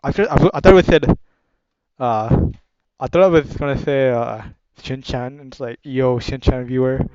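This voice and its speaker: A man's voice: mid-range voice, lively intonation, moderate pace, a little mellow. A man casually reading a visual novel aloud, chatting and correcting himself as he goes. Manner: self-correcting, chatty asides. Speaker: gaming video creator. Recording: dull sound with guitar music.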